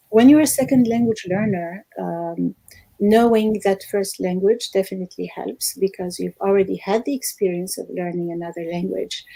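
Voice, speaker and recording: A woman, a language teacher, giving measured explanatory interview answers over a video call, with frequent ums. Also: lowish voice, dark tone, dry recording, poor audio. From Algerian American, born in Morocco. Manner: measured, explanatory, many fillers.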